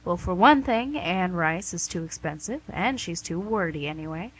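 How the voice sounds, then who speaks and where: A woman's voice: fairly low pitch, animated, moderate pace, slightly rough, rough recording. A woman playing a smug, coaxing female devil in a comic radio play, with a theatrical, glib delivery. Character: smug, wheedling, image-conscious female devil called Lucy.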